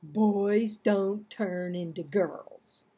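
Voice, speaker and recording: An awkward schoolboy from a children's storybook, read by a woman, slow and hesitant. The voice is husky and unsure of itself. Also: medium pitch, mellow. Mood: musing.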